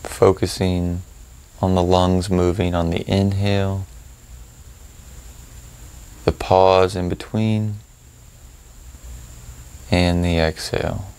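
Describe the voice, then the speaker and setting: Male meditation guide, calm, slow delivery with a creaky low register and roomy pauses between instructions. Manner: calm, unhurried, with long pauses. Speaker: meditation teacher.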